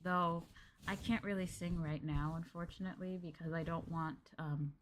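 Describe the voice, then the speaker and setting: A woman's voice: fairly low pitch, fairly even intonation, moderate pace, slight background noise. A woman streamer chatting casually with her viewers, addressing them as Masters and filling her talk with ums and likes. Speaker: VTuber streamer.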